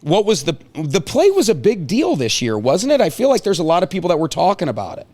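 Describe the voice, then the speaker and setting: A man hosting a casual chat with high school seniors, asking questions in an informal, teasing, slangy way. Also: wildly swooping pitch, fast, a little mellow.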